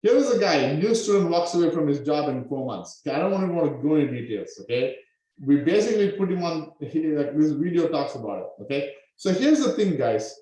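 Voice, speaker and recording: A man originally from Sri Lanka, coaching students on a live webinar in an energetic way, often tagging his points with a quick "okay?". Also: on the high side. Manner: energetic, peppers talk with "okay?". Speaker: online business coach. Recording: very echoey room.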